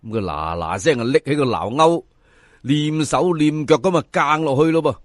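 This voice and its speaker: A Cantonese man tells a folk story with lively swings of pitch. His voice sits a little high for a man, and he is theatrical and chatty, addressing the audience directly. The recording is dry and close. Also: moderate pace, dry recording. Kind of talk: dramatic folk-tale narration in episodes.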